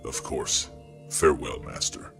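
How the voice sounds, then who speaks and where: A man's voice: very deep voice, expressive, measured pace, creaky, full character voice. A man playing a cynical, menacing knight, a skeptical but loyal subordinate, in a dramatic comic dub. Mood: deferential. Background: music.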